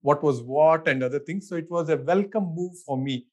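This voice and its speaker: A man's voice: on the high side, normal speed. A middle-aged man with an Indian accent, an architect answering interview questions in an easygoing way. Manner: easygoing, thinks aloud with fillers and restarts, laughs often. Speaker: architect, guest.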